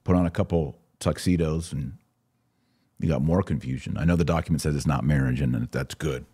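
A man with a very low, dark voice that has a husky grain to it. He talks as a commentary host, opinionated and emphatic, repeating key phrases as he responds to comments. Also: brisk. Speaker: podcast host and religious commentator. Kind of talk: live commentary answering viewer comments.

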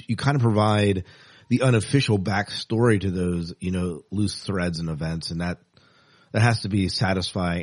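A man hosting a casual podcast interview, speaking in a halting way and repeating phrases. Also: deep voice, a little mellow, no echo, clean studio sound.

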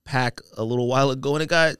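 A man's voice: medium pitch, fast talker, a bit bright, clear, resonant tone, dry recording, clean studio sound. A man co-hosting a casual podcast, talking in slangy, emphatic bursts and repeating phrases to drive a point home. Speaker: podcast host. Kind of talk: casual sneaker podcast banter.

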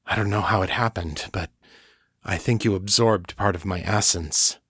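Patient, steady man, late thirties, the narrator's own low voice, calm reasoning, dry sound. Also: moderate pace, slightly rough, no echo.

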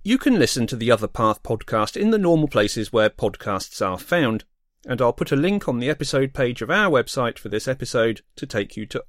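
A man's voice: mid-range voice, dry recording, clean studio sound. A man presenting a scripted podcast outro as host.

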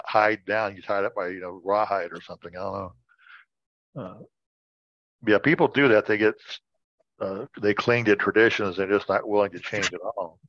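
A man's voice: fairly low pitch, a bit bright. A man bantering casually and jokingly as a panelist on a word-guessing segment. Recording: dull lo-fi sound, roomy echo.

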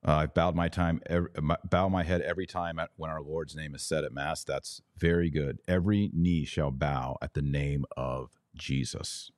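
Casting: male podcast host, very low voice, close dry sound, emphatic religious commentary delivered live. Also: fairly even intonation, normal speed, hardly any pauses, no room sound. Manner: emphatic and preachy, with short declarative repeats.

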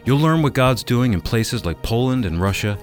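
A man's voice: medium pitch, animated, moderate pace, soft background music. A man reading a scripted introduction to a radio program as its announcer.

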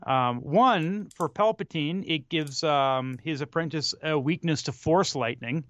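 A man with a slightly high voice talks casually about films as a co-host, often halting to search for his words. His humor is dry and tongue-in-cheek. The sound is close and dry but somewhat dull and muffled. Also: fairly bright tone, no room sound. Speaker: podcast co-host. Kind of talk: casual film discussion.

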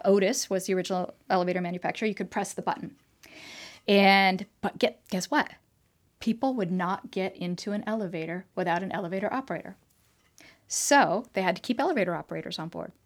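A woman talks as a guest in a casual interview, explaining technology at length. She is animated and talkative, with lots of fillers and restarts. Her pitch sits in the middle range. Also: fast. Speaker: tech expert guest. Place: trade show floor.

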